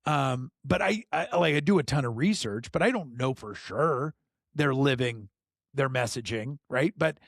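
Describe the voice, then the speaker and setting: A middle-aged man hosting a podcast in casual chat, enthusiastic, laughing often and filling pauses with lots of ums and uhs. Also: mid-range voice, lively intonation, fairly bright tone, no echo, studio quality. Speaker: podcast host.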